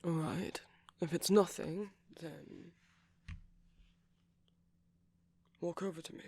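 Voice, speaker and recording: Male villain boyfriend for ASMR roleplay, acted by a man: husky and gravelly, commanding but caring, slow and coaxing, often slipping into whispers. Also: a little high, fairly even intonation, a little mellow. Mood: coaxing, suspicious. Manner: slow, deliberate, coaxing with pointed pauses. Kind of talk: intimate ASMR roleplay acting.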